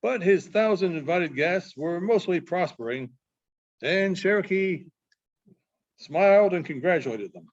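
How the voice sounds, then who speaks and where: A man with a notably high voice reads a story aloud in a halting way, often stumbling and going back over phrases. The recording is dull and muffled. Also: animated. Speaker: librarian reading aloud. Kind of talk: reading a short story aloud.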